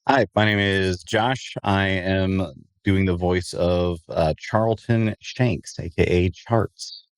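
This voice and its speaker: A man's voice: low voice, fairly even intonation, slow, clear, resonant tone, no room sound. A man who is a voice actor, chatting casually at a table read.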